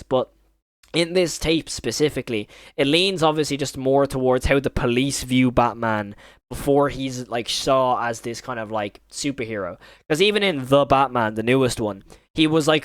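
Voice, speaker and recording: A man's voice: mid-range voice, dry recording. A man hosting a reaction video, giving casual, rambling, informal commentary with some mild swearing.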